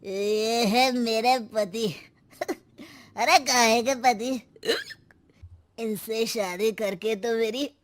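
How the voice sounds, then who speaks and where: High-pitched, drawling tipsy fed-up wife. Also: female voice. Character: fed-up drunk wife loudly airing grievances against husband. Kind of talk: comic Hindi cartoon dubbing.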